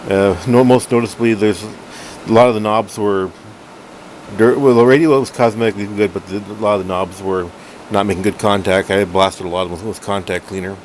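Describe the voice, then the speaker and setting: A middle-aged man giving a casual, unscripted hobbyist demo at home, halting and rambling as he corrects himself. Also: lowish voice. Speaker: hobbyist collector reviewing a radio. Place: room at home, indoors. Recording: noisy room with steady background hum.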